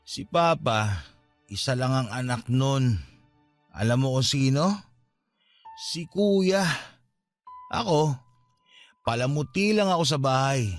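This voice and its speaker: A Filipino actor in a radio drama playing a drunk, self-pitying young boyfriend who feels neglected, with groggy delivery. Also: male voice, mid-range voice, takes it slowly, long pauses, natural voice. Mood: bitter, hurt. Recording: music behind.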